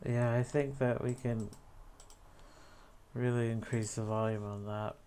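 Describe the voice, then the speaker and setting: Casual screencast editor, unhurried, mid-pitched, talking to self over keyboard clicks and background noise. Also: fairly even intonation, warm tone. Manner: musing, talks to self while working. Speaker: video editor narrating own editing session. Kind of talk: casual screen-recording commentary, thinking aloud.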